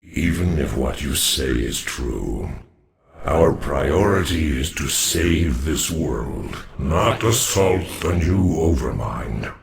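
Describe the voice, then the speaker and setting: An actor playing a grave, wary old alien warrior in a video game, slow and solemn with a gravelly, echoing voice. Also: male voice, extremely low pitch, animated, exaggerated voice. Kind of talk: scripted video game voice acting.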